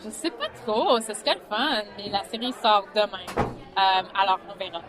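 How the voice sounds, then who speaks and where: Female writer in a casual interview, Franco-Manitoban accent, medium pitch, clear crowd chatter behind. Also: normal speed. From Saint-Boniface, Manitoba, Canada. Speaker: series creator and writer interviewed. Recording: busy crowd chatter around her.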